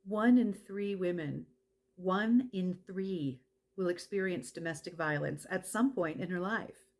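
Actress as a passionate, plain-spoken women's advocate. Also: female voice, fairly low pitch, a little slow, darkish tone. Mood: urgent. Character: passionate, plain-spoken woman running a domestic violence center. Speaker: actor performing a monologue. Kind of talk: dramatized testimony.

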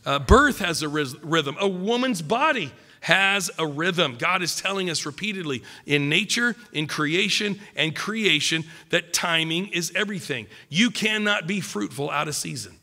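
A middle-aged man preaching at a pulpit, emphatic and joking, a little croaky from a cold. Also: on the high side, lively intonation, normal speed, hardly any pauses, pushed and bright, long reverb tail. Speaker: pastor. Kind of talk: sermon. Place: church stage at a wooden pulpit. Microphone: podium mic.

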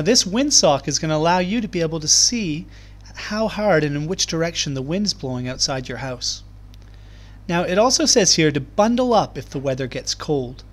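Higher-pitched man teaching young children. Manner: clear, simple explaining addressed to young children. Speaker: primary school teacher. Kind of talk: children's read-aloud lesson.

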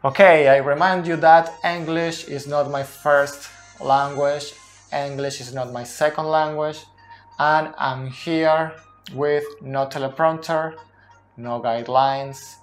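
A man in his thirties, a native Spanish speaker, gives an unscripted soccer explainer in slow, halting, deliberate second-language speech. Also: on the high side, fairly even intonation, faint music behind. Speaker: video host, soccer analyst. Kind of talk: unscripted explainer at a tactics board. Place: small indoor room at a whiteboard. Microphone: cell phone at close distance.

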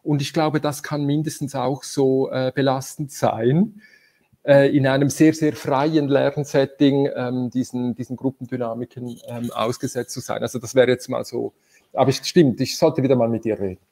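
A man hosting a video call from a room at home, talking with many fillers and pauses as he searches for words. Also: mid-range voice, level tone, mellow. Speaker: host. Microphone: video call webcam.

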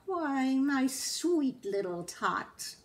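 Woman playing a slow, sly storybook Grinch creature. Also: high voice, very animated, pitched above the performer's own voice, exaggerated voice. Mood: sly, fake sweetness. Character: sly, sneering male Grinch, a grumpy creature.

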